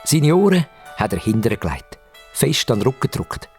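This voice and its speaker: A man with a Swiss German accent narrating a story for young children expressively, with dramatic pauses and repetition. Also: medium pitch, very animated, darkish tone, faint music behind.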